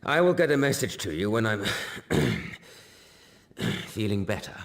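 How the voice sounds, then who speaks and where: A man's voice: fairly low pitch, very animated, slow, heavily acted. A man playing a modest, helpful, ailing wizard teacher in a video game, speaking politely and apologetically. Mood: weary, reassuring.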